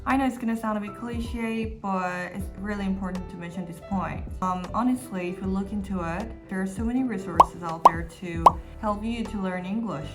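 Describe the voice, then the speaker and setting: A woman's voice: medium pitch, moderate pace, few pauses. Young woman explaining, over music. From South Korea. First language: Korean. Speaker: vlogger. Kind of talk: scripted English-learning talk. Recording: voice with loud background music.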